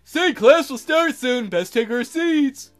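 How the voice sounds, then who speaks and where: Man doing a loud, chummy shark schoolboy. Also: very high-pitched, expressive, takes it slowly, faint music behind, voice pushed up, heavily acted. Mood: friendly, upbeat. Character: big friendly easygoing male shark high-school student.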